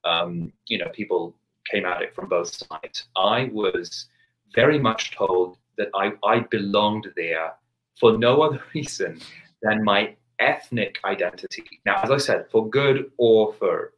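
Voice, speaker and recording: Guest priest, 30s, from England, low voice, careful and hesitant, video-call sound. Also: male voice, poor audio. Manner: measured, many restarts and hesitations. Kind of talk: thoughtful interview answer. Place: home study with bookshelves, video call.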